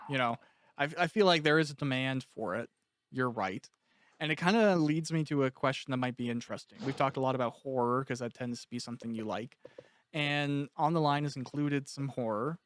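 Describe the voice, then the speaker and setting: Male podcast interviewer, smooth and crisp, informal and talkative, medium pitch. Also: brisk, no echo. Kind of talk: casual interview chat.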